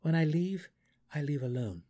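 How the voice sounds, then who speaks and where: A man voicing a resolute, brooding male dark elf exile in a fantasy audiobook, speaking earnestly and formally with defiance. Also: fairly high pitch, expressive, moderate pace, voice pushed up. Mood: firm. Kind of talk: fantasy audiobook character acting.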